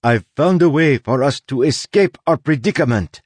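A man's voice: medium pitch, lively intonation, moderate pace, husky, dry recording, pitched above the performer's own voice. A man voicing a stern, straightforward sailor woman in an audiobook, with urgent, persuasive delivery. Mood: insistent.

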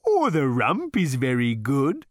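High, drawn-out, fawning cow; genteel and servile, audiobook character. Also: male voice, wildly swooping pitch, no echo, clean studio sound. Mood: ingratiating. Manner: slow, genteel, ingratiating waiter-like delivery. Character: polite, eager talking cow offering itself as dinner.

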